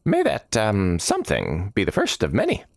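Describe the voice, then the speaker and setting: A man's voice: lowish voice, huge pitch swings, moderate pace, gravelly, no room sound, studio quality, pitched above the performer's own voice, heavily acted. A man voicing an eccentric, mischievous mage in a fantasy story, playful and wry, savouring his words. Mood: solemn.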